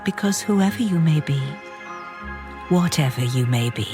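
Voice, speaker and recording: A man's voice: on the high side, expressive, normal speed, lightly acted. A man playing a devoted, sentimental fan who narrates a star's life reverently in a radio play. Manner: dramatic, sentimental storytelling. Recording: music behind the voice.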